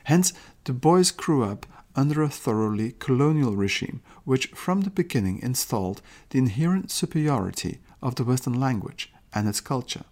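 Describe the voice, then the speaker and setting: Gravelly-voiced man lecturing in a podcast. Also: mid-range voice, lively intonation, darkish tone. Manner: measured, explanatory delivery with occasional restarts; quotes passages aloud. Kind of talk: lecture-style podcast narration.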